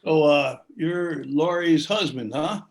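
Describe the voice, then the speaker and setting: An actor playing a hesitant older man in a table read, earnest and halting as he gives a cautious warning. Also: a little high, gravelly, heavily acted. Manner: halting, hesitant, with ums and stammers.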